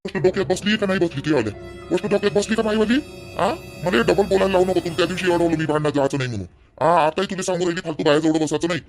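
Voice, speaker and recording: Fast, high-pitched scolding husband played by a man. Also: faint music behind. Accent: Vidarbha Marathi. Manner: scolding, domineering, repetitive. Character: angry, controlling young husband in a village family. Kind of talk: family drama cartoon dialogue.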